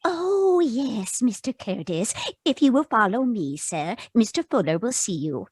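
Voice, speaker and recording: A woman's voice: a little high, sing-song, measured pace, darkish tone, slightly rough, no room sound, clean studio sound, heavily acted. A woman playing a formal, deferential housekeeper in an old-time radio mystery. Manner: formal, dutiful. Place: remote video call performance.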